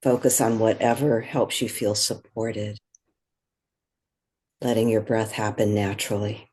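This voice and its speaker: A meditation guide speaking gently and in a measured way, leaving long pauses between phrases. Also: medium pitch, little pitch movement, slightly rough. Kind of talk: guided meditation, soothing spoken instruction.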